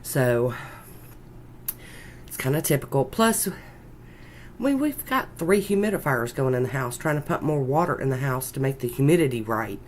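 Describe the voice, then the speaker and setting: Croaky Southern US woman, congested and chatty. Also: rumbling low, expressive, normal speed, long pauses, darkish tone. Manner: folksy, rambling, chatty. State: congested with a sinus infection, sore throat. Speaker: nail art tutorial host. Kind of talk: casual narrated tutorial chat. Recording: noticeable background noise.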